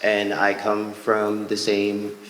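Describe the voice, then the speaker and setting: A man's voice: fairly low pitch, monotone, slow pace. A man from the DC area introducing himself on a panel, loose and hyped. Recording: echoey hall, loud crowd and noise.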